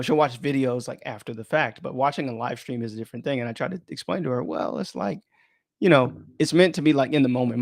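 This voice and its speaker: Creaky-voiced man rambling on a casual livestream. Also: mid-range voice, no room sound, clean studio sound. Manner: rambling, informal, self-interrupting. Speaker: live streamer and podcaster. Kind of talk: casual unplanned live stream chat, thinking aloud.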